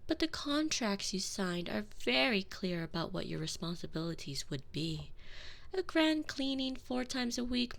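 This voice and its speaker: Woman doing a vampire mistress, super slow and measured, gravelly fry, keeps sinking to a whisper, binaural mic. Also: fairly low pitch, exaggerated voice. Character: cold, elegant female vampire mistress, menacing. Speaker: audio roleplay performer. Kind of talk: binaural audio roleplay. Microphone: binaural microphone.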